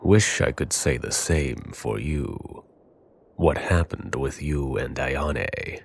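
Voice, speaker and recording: A man playing a gruff, seasoned anime soldier, grave and loyal, with a husky voice in an audio drama. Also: animated, slow pace, full character voice. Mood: gruff banter. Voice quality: husky, very deep.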